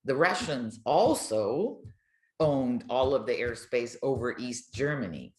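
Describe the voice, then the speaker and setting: A woman's voice: very deep voice, big pitch swings, slow pace, roomy sound. An older woman, chatting and telling stories as an interview guest in a colloquial way. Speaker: retired air traffic controller, podcast guest. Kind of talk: casual storytelling in an interview.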